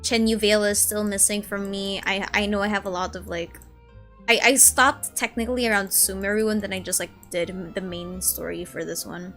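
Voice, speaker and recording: A woman in her early twenties chatting casually on a gaming stream, excitable and gushing, rambling as she goes. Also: mid-range voice, a little fast. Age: early 20s. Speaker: streamer and video essay creator. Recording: music behind the voice. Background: game music.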